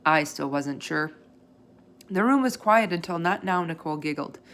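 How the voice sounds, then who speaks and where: A woman reading a children's book aloud as a storyteller, expressively and with animated emphasis. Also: on the low side, normal speed. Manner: animated emphasis, acts out character dialogue.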